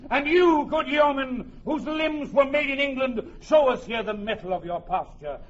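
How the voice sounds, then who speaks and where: An actor playing a heroic, commanding young English warrior king, declaiming in a rousing Shakespearean radio drama style. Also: very high voice. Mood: rousing, exhorting. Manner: declamatory, rousing, drawn-out emphatic phrases. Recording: muffled old radio recording.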